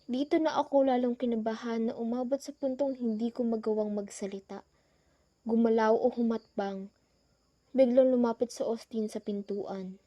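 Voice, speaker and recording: A woman's voice: fairly high pitch, steady tone, normal speed, dark tone, highs cut off, lightly acted. A young woman playing the narrator who recounts a frightening island trip in a scripted radio drama. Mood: uneasy storytelling. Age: young adult.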